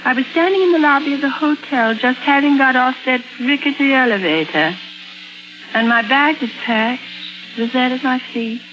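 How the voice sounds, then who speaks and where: A woman's voice: high-pitched, natural voice. An actress as an anguished, haunted woman, muffled old radio. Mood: brooding, recollecting. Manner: dramatic, halting, intense delivery. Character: anguished amnesiac woman patient who believes she murdered. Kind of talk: 1950s radio drama acting. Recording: muffled vintage radio recording with music.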